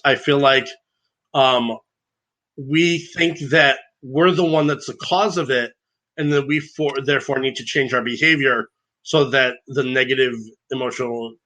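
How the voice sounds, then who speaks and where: Loud, bright-voiced man talking earnestly. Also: medium pitch, rough recording. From grew up in a divorced family. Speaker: podcast guest. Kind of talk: conversational podcast interview.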